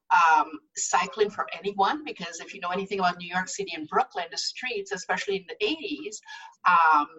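A woman's voice: mid-range voice, sharp tone, husky, far from the mic, dull audio. A woman from Guyana, a guest telling about her cycling group in an earnest, casual way that repeats words as she goes. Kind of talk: casual interview talk.

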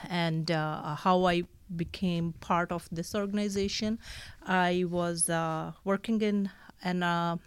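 A woman from Pakistan with a Pakistani accent, explaining her nonprofit work in an interview in a hesitant way with frequent pauses. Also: on the low side, fairly even intonation, smooth, dry recording. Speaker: nonprofit staff member being interviewed.

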